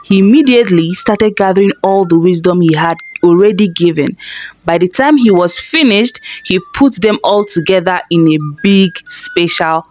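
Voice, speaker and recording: A woman narrating a children's folktale in an expressive, dramatic storytelling manner. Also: fairly low pitch, moderate pace, poor audio. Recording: faint background noise.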